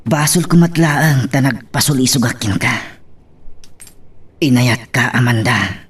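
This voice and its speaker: A man playing a guilty, pleading unfaithful husband with an Ilocano accent, halting and apologetic, in love drama acting. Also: mid-range voice, fairly bright tone, slightly rough. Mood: remorseful.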